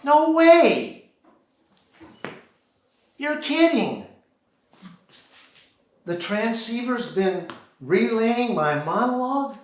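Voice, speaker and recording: An American man performing a theatrical solo monologue, deliberately acting out one side of a phone call on a theater stage. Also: very high-pitched. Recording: roomy, echoing live theater sound.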